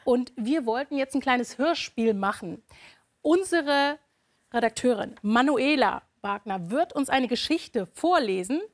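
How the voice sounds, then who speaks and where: A woman's voice: fairly high pitch, expressive, a little slow. A middle-aged woman hosting a casual TV studio interview on a lapel mic. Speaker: TV talk show host.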